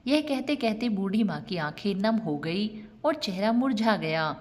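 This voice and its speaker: A woman narrating a scripted moral story in an expressive, sentimental way. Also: medium pitch, measured pace. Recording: long reverb on the voice.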